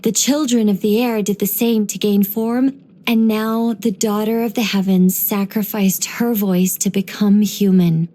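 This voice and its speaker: A woman voicing a gentle, wise young angelic woman in game voice lines, soft and wryly musing. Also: mid-range voice, steady tone, unhurried, close to an everyday voice.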